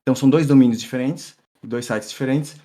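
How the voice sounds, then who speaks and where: A middle-aged man from São Paulo with an accent mixing Brazilian and European Portuguese, giving a relaxed live-coding talk full of fillers and restarts. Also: medium pitch, fast. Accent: mixed Brazilian and European Portuguese. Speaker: tech meetup speaker.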